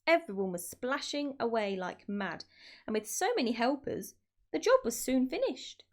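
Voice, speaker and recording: Animated woman reading a children's story. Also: fairly high pitch, moderate pace. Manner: addresses child listeners with questions. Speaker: storyteller, teacher reading aloud. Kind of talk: expressive children's story narration.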